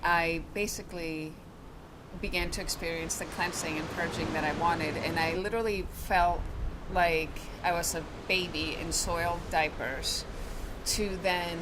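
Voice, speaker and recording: A woman from Venezuela describing a spiritual experience in a slow, searching way with vivid imagery. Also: fairly low pitch, fairly even intonation, background noise. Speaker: retreat guest. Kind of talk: reflective testimonial.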